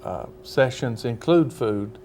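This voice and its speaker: A man's voice: medium pitch, darkish tone, slight background noise. Hesitant man, a pastor, as a guest. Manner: measured, hesitant, many "uh"s. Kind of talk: TV interview guest.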